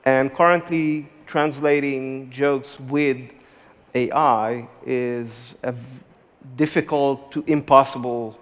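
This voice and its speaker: A middle-aged man on a conference panel, speaking haltingly and repeating words as he restarts phrases. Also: mid-range voice, fairly even intonation, clean tone. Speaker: startup CEO panelist. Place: large conference stage. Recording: reverberant hall, dull lo-fi sound.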